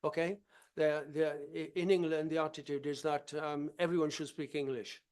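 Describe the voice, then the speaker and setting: A man's voice: fairly high pitch, flat delivery, normal speed, a little mellow, clean tone. A man from Wales answering audience questions on a conference panel, hesitant with many restarts before making firm points.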